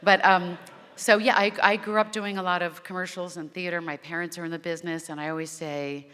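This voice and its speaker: Low-voiced woman actor, heartfelt and rambling, on a reverberant panel stage. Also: flat delivery, a little fast, sharp tone, smooth. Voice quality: naturally low voice. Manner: rambling, heartfelt, restarts often. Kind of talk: convention panel chat. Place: convention panel hall.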